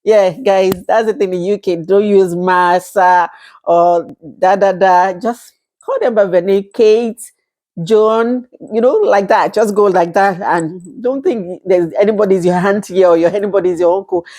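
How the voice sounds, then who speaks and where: Nigerian woman, 30s, casual vlog host, talkative with easy laughs, mid-pitched voice. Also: steady tone, a little slow, warm tone. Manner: chatty, laughs often, acts out quoted exchanges. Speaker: vlogger. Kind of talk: casual talk to camera.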